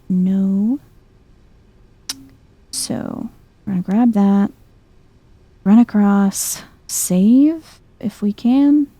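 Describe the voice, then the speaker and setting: A soft-spoken woman doing chill, playful ASMR game commentary, often close to a whisper. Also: medium pitch, vocal fry, dry recording. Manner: chill, playful muttering to herself. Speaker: gaming video host.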